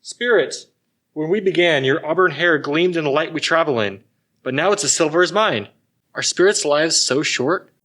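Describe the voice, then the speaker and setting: A man's voice: on the high side, animated, moderate pace, sharp tone. A man playing a frightened, repentant old miser, earnest and pleading, in a theatrical audio drama. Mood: concerned.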